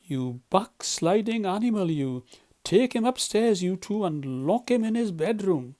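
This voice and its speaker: A man's voice: sits high, very animated, a little slow, a little mellow, voice pushed up. Man voicing a stern, commanding storybook badger. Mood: outraged. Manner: emphatic, grave, scolding. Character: stern, solemn male badger, commanding elder friend.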